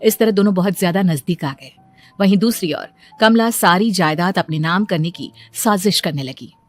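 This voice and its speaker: A woman's voice: fairly low pitch, normal speed, soft background music. Woman narrating a cartoon story.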